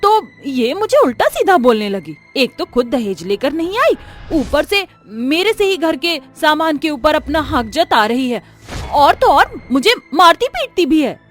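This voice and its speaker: A woman's voice: high voice, sing-song, measured pace, faint music behind. A woman voicing a cruel, greedy, middle-aged mother-in-law in a melodramatic moral-story cartoon, with a taunting manner. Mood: false, whining complaint.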